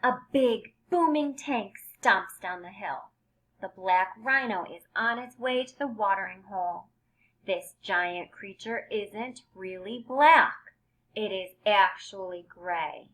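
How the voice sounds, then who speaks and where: A woman reading aloud as a kindergarten teacher, deliberate and expressive, explaining words in simple terms for young children. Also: mid-range voice, low-quality recording.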